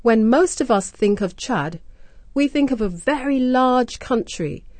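A woman radio presenter hosting a scripted language-learning programme, explaining words and phrases clearly and deliberately. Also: on the high side, darkish tone, no echo. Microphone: close studio mic.

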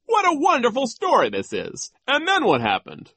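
A woman's voice: high-pitched, wildly swooping pitch, moderate pace, fairly bright tone, no echo, pitched above the performer's own voice, heavily acted. A woman voicing a pompous, childish male vice principal in an audiobook, mocking and bossy, with gleeful cruelty.

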